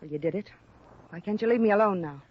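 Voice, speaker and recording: A woman's voice: medium pitch, quick pace, natural voice. A woman playing a troubled young suspect with an American accent, defensive and pleading in a melodramatic, wounded way, in 1950s radio drama style. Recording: muffled, hissy vintage radio recording.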